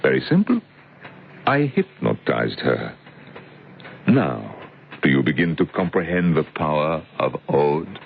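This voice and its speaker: A man's voice: a bass voice, big pitch swings, measured pace, gravelly, pauses often, slight background noise, heavily acted. An actor playing an eccentric older professor in old-time radio drama, a pedantic, portentous zealot of occult science who hesitates as he lectures. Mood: calm, sinister. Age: middle-aged or older. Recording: dull lo-fi old radio recording.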